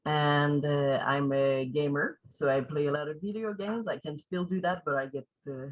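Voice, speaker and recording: A woman's voice: sits low, steady tone, normal speed, dull audio. French Canadian woman in her thirties. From Quebec, Canada. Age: 30s. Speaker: guest. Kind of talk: casual chat.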